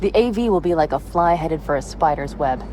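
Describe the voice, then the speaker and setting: A tough young mercenary woman in a game cutscene. She speaks in a lowish register, practical and brisk with a dry edge. Clear engine and ambient noise runs behind her. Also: lively intonation, darkish tone. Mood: focused, wry. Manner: brisk, practical, wry, casually foul-mouthed. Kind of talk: video game cutscene dialogue, naturalistic.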